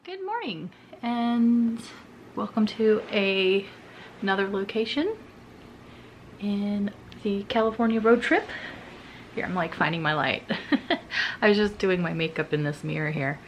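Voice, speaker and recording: A woman's voice: medium pitch, lively intonation, unhurried, pauses often, slight background noise. A woman in her thirties chatting casually to a handheld camera in a bedroom, giggly and full of "like" and "um". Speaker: vlogger. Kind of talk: casual vlog chat to camera. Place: bedroom in a house. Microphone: handheld camera at arm's length.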